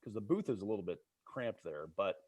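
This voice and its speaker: A man with a low voice talks casually as a guest on a video call, like a sportscaster off the air. The sound is close and dry, with very little room. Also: lively intonation, a little fast, a little mellow, no room sound. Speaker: baseball play-by-play broadcaster as guest. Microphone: video call microphone.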